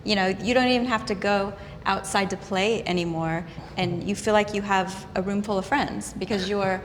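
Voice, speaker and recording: Fifties woman telling lively stories. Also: medium pitch, moderate pace. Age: 50s. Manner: lively storyteller, restarts often. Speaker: talk show panelist. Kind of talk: candid panel chat. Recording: reverberant room with faint noise.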